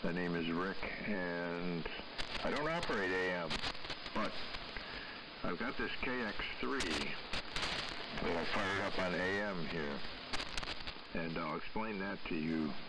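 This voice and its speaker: An American man chatting casually as a ham radio operator, halting and deliberate with many pauses, heard over an AM radio transmission. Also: low voice. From New York State, United States. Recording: very noisy AM shortwave radio transmission.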